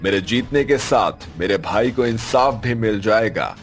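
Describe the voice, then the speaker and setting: A man's voice: lowish voice, animated, measured pace, faint music behind. A man voicing an earnest ghost politician making speeches in a cartoon story. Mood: earnest, pleading. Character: earnest male ghost politician pleading for votes. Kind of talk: Hindi cartoon story dialogue.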